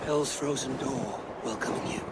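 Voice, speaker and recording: An actor playing a stern, blunt Viking warrior in a video game, speaking in a measured, solemn way. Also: male voice, medium pitch, heavy background noise, close to an everyday voice. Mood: cold, solemn. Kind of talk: dramatic video game acting.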